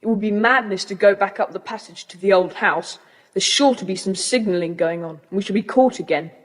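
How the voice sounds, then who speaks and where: A woman's voice: mid-range voice, a little fast, natural voice. A woman playing a resolute, take-charge schoolboy in a children's audio adventure play. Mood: decisive. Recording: long hall-like reverb.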